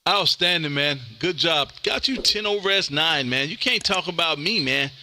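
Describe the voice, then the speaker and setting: A man's voice: on the high side, moderate pace, very noisy. A boisterous, folksy man, hosting amateur radio calls and joking with his contacts. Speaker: amateur radio host, live streamer. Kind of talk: animated ham radio contact calls and banter. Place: home radio room.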